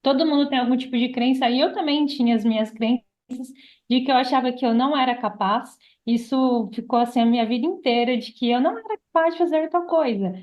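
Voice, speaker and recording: High-voiced Brazilian woman giving advice. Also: quick pace, lo-fi sound. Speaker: podcast guest. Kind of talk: casual conversational advice.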